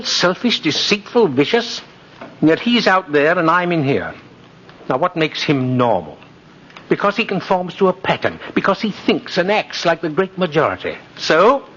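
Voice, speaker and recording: A kindly old Santa Claus figure, with a fairly high, lively voice. He speaks with genteel, theatrical emphasis, as in 1950s radio drama. The recording is muffled with a faint layer of noise. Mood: earnest, disillusioned. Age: older.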